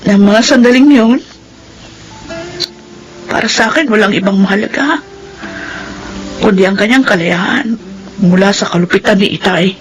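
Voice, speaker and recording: Casting: actress as understanding Filipino elder sibling, mid-pitched, measured and gentle, radio drama with music underneath. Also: female voice, lightly acted, low-quality recording. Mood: solemn, pained. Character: understanding older sibling, survivor of a cruel father.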